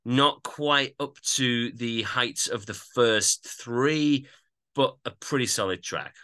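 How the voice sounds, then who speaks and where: A man co-hosting a podcast, giving casual track-by-track album commentary with measured critical judgments. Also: mid-range voice, bright, forceful tone, clear, resonant tone. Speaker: podcast co-host.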